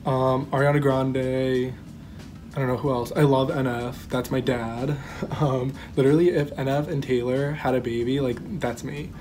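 A man's voice: mid-range voice, steady tone, normal speed, darkish tone. A young man giving a joking, self-mocking introduction as a game contestant on a webcam in his bedroom. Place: bedroom at home.

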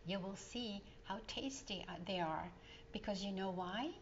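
Woman playing kindly storybook aunt, unhurried, knowing, patient, slightly dull recording. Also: medium pitch, slight background noise, close to an everyday voice. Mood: patient explaining. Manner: explaining patiently.

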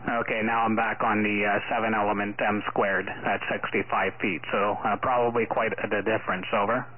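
A man's voice: fairly low pitch, flat delivery, fairly quick, noisy background. Man on ham radio, thin band-limited audio. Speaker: amateur radio operator. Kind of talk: casual ham radio contact. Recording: muffled phone-like radio audio.

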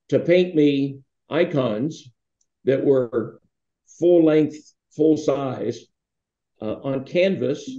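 An older man reminisces in a mellow, warm voice, wandering through anecdotes with plenty of fillers. His webcam audio is a bit dull and sounds roomy, as if from an open room at home. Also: mid-range voice. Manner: rambling, anecdotal, with many fillers. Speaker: foundation founder, interviewee. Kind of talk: relaxed storytelling. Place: home room with wall hanging. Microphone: video call webcam.

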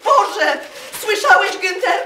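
High-voiced, scornful, distraught wife. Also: female voice, expressive, slow pace, pushed and bright, a little hiss or hum, exaggerated voice. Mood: scornful, incredulous. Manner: theatrical, emotional, mocking. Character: distraught, scornful German wife pleading with her scientist husband. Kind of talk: radio drama acting. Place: live performance inside a wooden barn. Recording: echoey barn stage recording.

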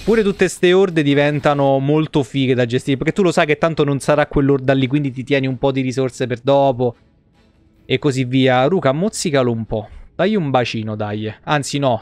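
A man's voice: medium pitch, moderate pace, a little mellow, no echo. A man giving casual, foul-mouthed and jokey live game commentary in slangy speech. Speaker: video game streamer.

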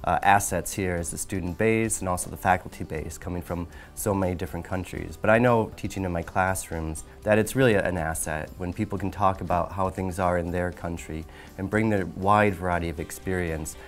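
A man in his thirties with a deep voice talks thoughtfully as a lecturer. Faint music plays in the background. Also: steady tone, fairly quick, few pauses. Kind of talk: promotional interview. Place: indoor classroom.

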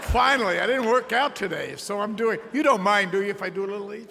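An older man presenting an award on a large theater stage, slow and playful with asides. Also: sits high, vocal fry, a loud crowd, heavy background noise. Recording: large hall reverb, relayed through a stream.

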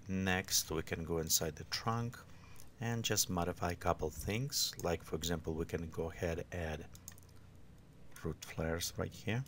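Man, very low gravelly voice, non-native English speaker, halting software instructor, informal step-by-step delivery. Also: a little hiss or hum. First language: not English. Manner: halting, unscripted phrasing. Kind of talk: informal step-by-step screen tutorial.

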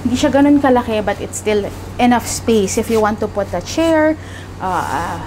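A woman's voice: on the high side, expressive, moderate pace, darkish tone. A young woman with a Filipino accent, chattily and enthusiastically presenting a house tour, switching between two languages. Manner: chatty, enthusiastic, mixes Tagalog and English. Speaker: property tour host. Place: empty rooms of a house. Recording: echoey empty rooms, slight background noise.

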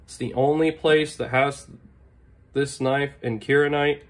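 A man with a hoarse, worn voice still on the mend, talking at a desk in an easy, keen show-and-tell way. He clears his throat often and leans on fillers while he enthuses. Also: mid-range voice, fairly even intonation, measured pace. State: hoarse, recovering lost voice. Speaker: knife channel host. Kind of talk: casual product show-and-tell. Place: indoors at a desk. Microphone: overhead camera on table, hands only.

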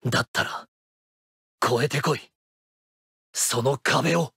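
A man voicing a cocky, driven high-school baseball catcher in an anime-style game. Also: slow, creaky, sharp tone, slightly rough, no room sound, clean studio sound, full character voice. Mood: serious, resolute.

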